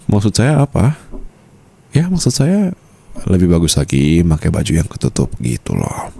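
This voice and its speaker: A man's voice: sits low, gravelly, warm tone, husky, exaggerated voice. A man playing a possessive, teasing mafia boss husband in an intimate, murmured ASMR roleplay, with some English phrases mixed in. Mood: flirtatious.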